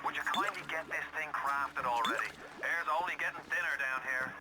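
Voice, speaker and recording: A man playing a gruff, chummy Irish rebel who guides a video game player over a radio, in a folksy, urging way. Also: fairly high pitch, brisk, over loud music. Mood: urgent coaxing. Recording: very noisy game audio, radio crackle.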